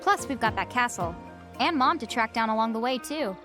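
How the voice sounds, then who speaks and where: A woman's voice: fairly high pitch, animated, a little fast, over music, natural voice. A woman voicing a practical, level-headed and determined teenage heroine in a video game. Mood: resolute. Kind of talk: video game cutscene acting.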